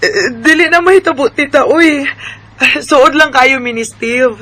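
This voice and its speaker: A woman's voice: sits high, measured pace, pushed and bright. A woman playing an emotive, teasing young woman secretly in love with her best friend in a Bisaya radio drama. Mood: cheerful. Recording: faint background noise.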